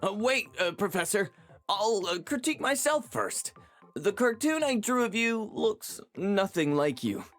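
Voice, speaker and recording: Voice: mid-range voice, sing-song, a little slow, soft background music. An actor playing a nervous, eager young art student in a game, hesitant and flustered. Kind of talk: dramatic game dialogue.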